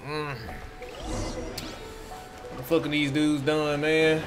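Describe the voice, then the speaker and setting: Dude streaming a game, slightly high voice, slangy self-talk, music behind him. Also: male voice, level tone, slow pace. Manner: slangy, muttering to himself. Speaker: gaming streamer. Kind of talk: casual gameplay commentary. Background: video game music.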